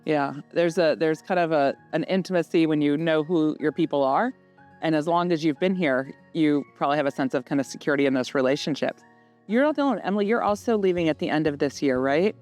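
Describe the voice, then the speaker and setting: A woman hosting a school podcast, speaking conversationally and asking students open questions with gentle follow-ups. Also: low voice, fast, soft background music.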